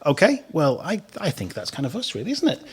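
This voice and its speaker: A man's voice: a little high, wildly swooping pitch, a little fast, breathy edge. A middle-aged man hosting a theatre podcast, chatting casually and restarting on repeated words. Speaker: podcast host. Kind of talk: casual radio interview chat.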